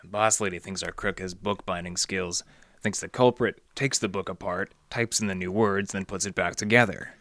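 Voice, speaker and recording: Low deadpan hard-boiled detective, acted by a man. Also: normal speed, no room sound. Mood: dry, businesslike. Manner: deadpan noir wisecracking. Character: wry, hard-boiled male library detective. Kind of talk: 1940s radio detective acting, narration and dialogue.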